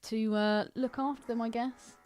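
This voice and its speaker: A woman's voice: on the high side, fairly even intonation, normal speed, darkish tone, faint music behind. A British woman co-hosting a podcast in casual film banter, cheeky, blunt and sweary. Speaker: podcast co-host. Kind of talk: casual banter about films.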